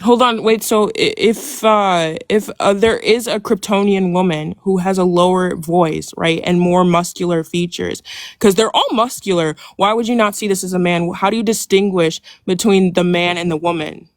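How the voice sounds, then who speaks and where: A woman's voice: lowish voice, fairly even intonation, moderate pace, dry recording, studio quality. A woman in an online debate, probing with repeated questions and interrupting.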